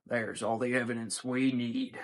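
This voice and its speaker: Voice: medium pitch, steady tone, measured pace, close to an everyday voice. Teen doing a cocky cowboy damsel from a storybook, fierce, snappy, menacing. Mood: defiant. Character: fierce gun-slinging damsel, a dragon cowboy.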